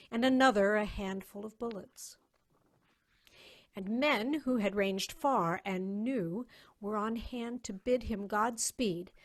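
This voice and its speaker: Female narrator, mid-pitched, for a scripted pulp science fiction story reading. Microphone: video call.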